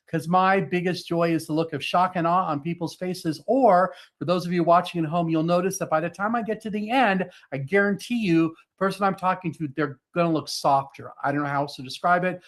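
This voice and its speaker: Guy psychic host doing tarot on a video call, high voice, chatty and fast. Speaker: psychic reader, show host. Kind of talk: live online tarot reading.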